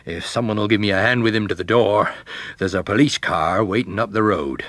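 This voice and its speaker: A man's voice: on the low side, fairly quick, a bit bright, no room sound, lightly acted. A man voicing a plain-spoken, watchful police sergeant, deferential yet firm, in a detective story reading. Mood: firm, breathless.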